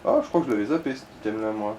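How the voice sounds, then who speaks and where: A man's voice: on the low side, animated, racing, mellow, dull audio, a little hiss or hum. A man in casual gaming chat, explaining and giving directions to the player in a helpful, slightly hesitant way. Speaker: co-commentator guiding the player. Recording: echoey room.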